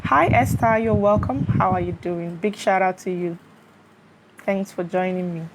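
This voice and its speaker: A woman's voice: mid-range voice, measured pace. A Nigerian woman hosting a live stream, chatting casually on a phone, greeting her viewers and checking they can hear her. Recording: steady fan noise behind.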